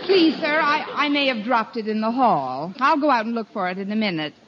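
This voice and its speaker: A woman playing a wry, sardonic high school teacher tossing off wisecracks in 1950s radio comedy style. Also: lively intonation, a little fast, a crowd in the background, natural voice. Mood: dutiful, coaxing. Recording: muffled, noisy 1950 radio recording.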